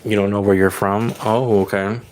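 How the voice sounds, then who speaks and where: A man with a somewhat low voice hosts a casual livestream as a reader, talking straight to his viewers. He is chatty and loose, with plenty of "like" fillers as he answers comments one by one. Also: little pitch movement, normal speed, a little mellow, poor audio. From California. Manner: loose and chatty, leans on "like" fillers, reacts to chat as he reads it. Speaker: psychic reader hosting a livestream.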